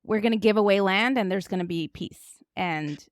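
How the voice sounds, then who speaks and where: Woman, podcast interviewer, conversational, tentative, searching for words, middle pitch, dry recording. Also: normal speed, no room sound.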